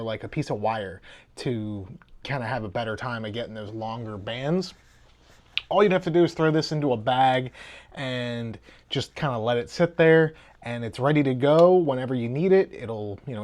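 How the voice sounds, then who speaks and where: Man, mid-pitched voice, casual hands-on reviewer, video host, conversational. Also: darkish tone.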